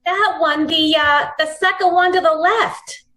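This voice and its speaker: A woman's voice: very high voice, steady tone, a little slow, pushed and bright, heavily acted. An American woman playing a gangster's sweetly coaxing girlfriend in 1940s radio crime style. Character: coaxing gangster's girlfriend wanting a fur coat.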